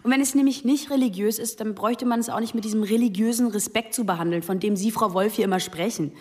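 Woman doing a mouthy teen girl, mocking and combative, a bit high. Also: quick pace, close to an everyday voice. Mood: provocative. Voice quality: sharp, mocking, confrontational. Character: outspoken young female student, provocative. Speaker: student in class discussion. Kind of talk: radio drama acting.

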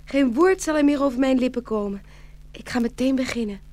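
A woman's voice: high-pitched, a little fast, dark tone, close to an everyday voice. A woman playing a sweet, devoted young princess in a fairy-tale radio play, earnest and girlish. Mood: resolute.

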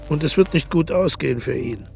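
A vain aging dictator in a radio drama, all mockery and grandeur. His voice is deeply gravelly, with a faint bed of music under it. He drops into low, sneering murmurs when he gloats. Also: fairly quick, darkish tone. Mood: boastful. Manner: mocking, self-aggrandizing, shifts into low sneering murmurs. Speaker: title character in a radio play. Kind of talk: radio play acting.

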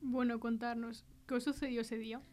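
A woman's voice: fairly high pitch, level tone, a little fast, a little mellow. A woman with a Spanish accent from Spain, presenting and asking questions as the host of a mystery interview podcast.

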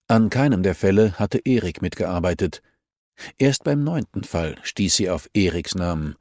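A male audiobook narrator reads scripted prose from a novel. His voice is on the low side. The recording is dry, with very little room sound. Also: darkish tone, dry recording.

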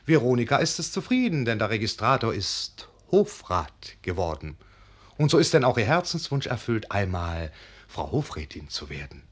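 A wistful male storyteller, with a fairly low voice. He narrates in formal, literary phrases with the measured delivery of a radio play. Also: big pitch swings, husky. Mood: wistful, storytelling. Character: wistful male storyteller, a poor poet in his garret.

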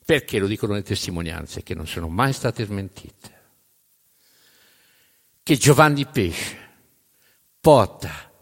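A man performs dramatic spoken-word narration as a stage storyteller. His mid-pitched voice rings through a long hall reverb. He is emphatic, leaving deliberate pauses and repeating phrases for effect. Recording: reverberant hall live recording.

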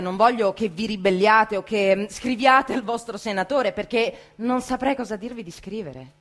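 A woman reciting a dramatic theatrical monologue in an impassioned, emphatic way, with deliberate pauses. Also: mid-range voice, bright, forceful tone.

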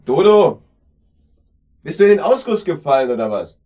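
An irritable man who feels set up and bristles with sarcasm. His husky voice swings widely in pitch as he blusters. The style is dramatic German radio play dialogue. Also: very animated, moderate pace, warm tone. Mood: agitated, indignant. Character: irritable, defensive, sarcastic brother feeling set up.

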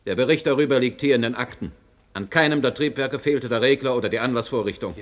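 An actor playing a stern, coldly logical presiding judge in a German radio play, pedantic, clipped and commanding in manner. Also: male voice, medium pitch, fast, natural voice. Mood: stern, authoritative.

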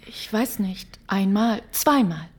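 An actress in a German radio drama playing a guarded but determined farmer's wife, with a breathy voice and terse answers. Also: female voice, medium pitch, animated, takes it slowly. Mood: uneasy, defensive. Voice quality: husky, breathy.

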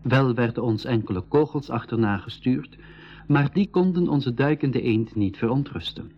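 An actor playing a composed storyteller, narrating an adventure in a scripted school radio drama. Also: male voice, mid-range voice, moderate pace, lo-fi sound, lightly acted. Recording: band-limited 1980s radio recording.

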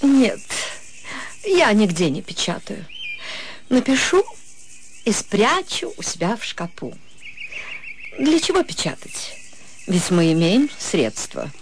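A woman's voice: mid-range voice, sing-song, a little hiss or hum. An actress playing a genteel, self-satisfied lady novelist in a radio drama, reading out in a florid, affected way. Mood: airy, complacent. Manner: slow, florid, affected declamation. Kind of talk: Russian radio drama acting, literary read-aloud.

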